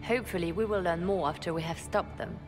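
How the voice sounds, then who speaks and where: An actress voicing a poised, calm woman spymaster and former bard in a video game, speaking in a measured, softly formal way. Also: lowish voice, music in the background, close to an everyday voice.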